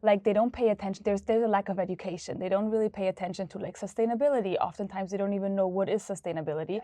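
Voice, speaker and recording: A woman from Austria talks about her company, stopping and restarting as she hunts for the right words. Her voice sits at a middle pitch and is recorded close, with a dry office sound. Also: steady tone, mellow, dry recording. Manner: searching, halting, many "like" fillers. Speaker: startup founder. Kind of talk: casual interview.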